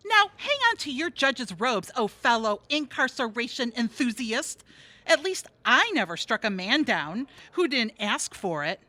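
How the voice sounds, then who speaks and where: Brassy, sarcastic streetwalker, high-pitched. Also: female voice, big pitch swings, measured pace, pushed and bright, no echo, full character voice. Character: brassy, sarcastic streetwise woman prostitute, jaded jail regular. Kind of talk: audio theatre comedy acting.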